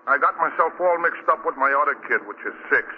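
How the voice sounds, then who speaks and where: An actor playing a dim, good-natured cab driver, slangy and muddled, in 1940s radio style. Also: male voice, on the high side, animated, fast, lightly acted. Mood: sheepish, chatty. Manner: slangy, ungrammatical, muddled explanations. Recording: dull, noisy 1940 radio recording.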